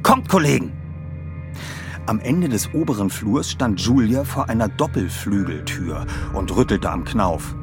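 A man's voice: lowish voice, animated, normal speed, hardly any pauses, music in the background. A man presenting and narrating in a scripted style as a podcast host and reader.

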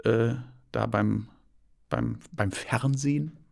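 A man's voice: mid-range voice, steady tone, slow pace, vocal fry, darkish tone. Chatty man, Paris-born co-host. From born near Paris, raised by German parents. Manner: chatty, with many hesitations and fillers. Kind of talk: casual chat.

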